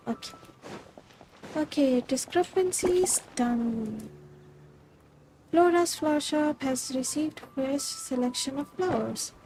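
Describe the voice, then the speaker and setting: A woman's voice: high voice, warm tone, a little hiss or hum. A woman with an Indian accent giving casual live game commentary, playfully talking to herself and reading on-screen text. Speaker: game streamer.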